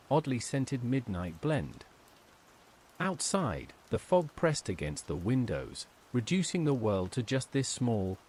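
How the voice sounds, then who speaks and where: A man's voice: mid-range voice, darkish tone, a little hiss or hum. A man reading a scripted first-person horror story as its narrator.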